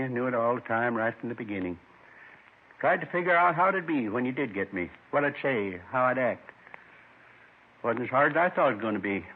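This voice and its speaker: An actor playing a weary, meek man in his seventies under arrest, halting and wistful, in a 1950s radio drama. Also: mid-range voice, lightly acted. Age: 70s. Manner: halting, plain-spoken, wistful. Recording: muffled 1950s radio recording.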